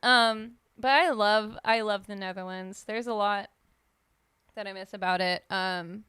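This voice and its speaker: A woman's voice: mid-range voice, steady tone, measured pace, bright, forceful tone, smooth, dry recording. A woman co-hosting a podcast in casual chat, rambling with lots of fillers and restarts. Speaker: podcast co-host.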